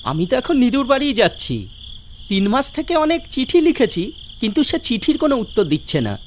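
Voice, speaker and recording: A woman's voice: a little high, very animated, brisk, slight background noise. A woman voicing an earnest young village man in a cartoon, speaking plainly and pleading. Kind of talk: cartoon voice acting. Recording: background music.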